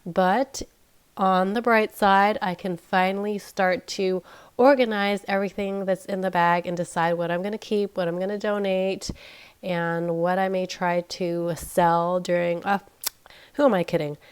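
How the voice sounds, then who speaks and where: A woman in her thirties talks slowly and easily, thinking aloud with a self-mocking streak. Her voice is nasal and a little crackly from being sick, yet smooth underneath. She chats to viewers while unboxing at home. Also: mid-range voice, steady tone. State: nasal and crackly from recovering illness. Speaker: unboxing vlogger. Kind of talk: casual unboxing chat. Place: indoors at home, carpeted floor. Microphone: camera pointed down at items, speaker off-screen.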